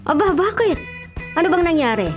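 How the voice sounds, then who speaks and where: A woman's voice: very high-pitched, sing-song, fairly quick, faint music behind. An actress playing a candid, nagging woman giving concerned advice in a Filipino radio drama, questioning and admonishing. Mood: worried, scolding.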